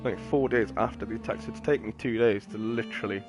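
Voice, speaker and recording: Low-voiced man, music behind. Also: fairly even intonation, fast talker. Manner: rambling, matter-of-fact, slangy. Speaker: game streamer. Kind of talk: casual gameplay commentary. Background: game music and sound effects.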